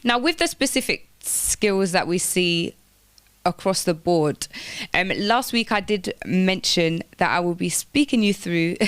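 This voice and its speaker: A woman's voice: on the low side, lively intonation, a little slow, clear, resonant tone, no echo. A woman presenting informal careers advice on the radio, explaining things step by step and repeating phrases for emphasis. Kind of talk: informal instructional talk on job applications.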